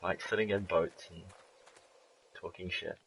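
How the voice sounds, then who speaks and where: A man with a very low, deep voice streams a game live and keeps up a loose running commentary. He swears constantly, laughs a lot and drifts into rambling jokes, with a faint hiss of noise under the recording. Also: fairly even intonation, unhurried, fairly bright tone, rough recording. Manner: swears freely, laughs often, rambling jokey asides.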